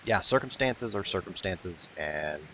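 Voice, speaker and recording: Deep-voiced, rambling male gamer. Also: lively intonation, a little slow, fairly bright tone, slight background noise, rough recording. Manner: rambling, profane, wry. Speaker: gaming streamer. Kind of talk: casual gameplay commentary.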